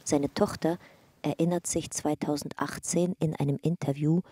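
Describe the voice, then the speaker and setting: A woman's voice: low voice, steady tone, moderate pace, warm tone, slightly rough, dry recording. A woman narrating an investigation file in a factual, detached, scripted radio drama style.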